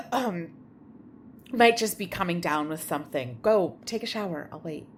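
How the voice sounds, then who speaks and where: Lady acting as troubled young woman, slow halting talk, lots of pitch movement, slight room noise. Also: lively intonation. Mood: nervous. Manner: hesitant, halting, emotional. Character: anguished, secretive young woman confronting a friend. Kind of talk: naturalistic audio drama acting.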